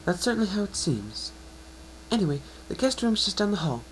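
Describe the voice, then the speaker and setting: A man's voice: high voice, very animated, brisk, a little hiss or hum, pitched above the performer's own voice, low-quality recording. A man voicing a kind, studious young female unicorn pony in an amateur story reading. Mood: helpful.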